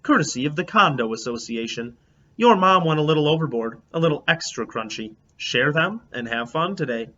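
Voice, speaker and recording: A man's voice: fairly high pitch, lively intonation, brisk, pushed and bright. A man voicing an easygoing, playfully questioning father in a children's storybook. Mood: cheerful. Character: easygoing, teasing, puzzled father of young girl. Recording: dull lo-fi, background noise.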